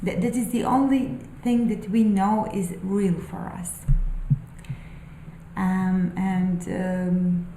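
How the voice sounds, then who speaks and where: A woman speaking English as a second language muses about spiritual questions, halting and thoughtful with frequent fillers. Her voice is mellow and unhurried. The room sounds echoey, with a faint hum of ambience behind her. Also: medium pitch, steady tone. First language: not English. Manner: thoughtful, halting, many ums and restarts. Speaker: spiritual vlogger. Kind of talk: unscripted musing monologue.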